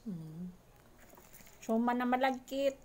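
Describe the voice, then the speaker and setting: Filipina lady middle aged, slow n kinda high voice, eating and chatting in short bits on her phone. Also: lively intonation, darkish tone. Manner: short phrases while eating, repetitive. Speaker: vlogger. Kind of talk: casual mukbang chat. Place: small indoor room at home. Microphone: phone at arm's length.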